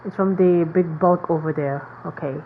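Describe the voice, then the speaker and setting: A woman's voice: fairly low pitch, steady tone, moderate pace, narrow, tinny audio, slight background noise. A woman streaming a game with casual, informal commentary, rambling and reacting as she plays.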